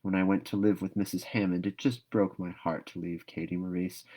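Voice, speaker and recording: A man's voice: low-pitched, level tone, fairly quick, warm tone. Man reading as a gushing, dreamy young orphan girl. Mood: wistful, sad. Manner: gushing, wistful, dramatic. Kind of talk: storybook read-aloud.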